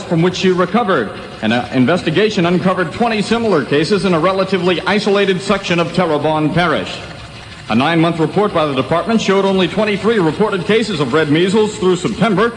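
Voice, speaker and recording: Man, radio news anchor, high pitch, formal scripted delivery, long reverb, 1960s AM sound. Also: slight background noise.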